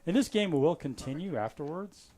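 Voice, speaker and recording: A man's voice: on the high side, moderate pace. Chatty man calling a live poker stream. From Florida. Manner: relaxed and chatty, with frequent fillers and restarts.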